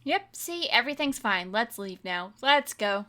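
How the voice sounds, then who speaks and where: A woman takes part in a casual tabletop roleplay. Her voice sits high and has a bright, crisp edge. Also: big pitch swings, measured pace. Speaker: game player.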